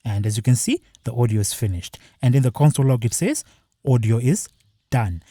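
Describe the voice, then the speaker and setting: Husky-voiced man walking through software. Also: medium pitch, expressive, fairly quick, no echo, clean studio sound. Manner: plain step-by-step explaining. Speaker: software tutorial presenter. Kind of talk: screen-share walkthrough.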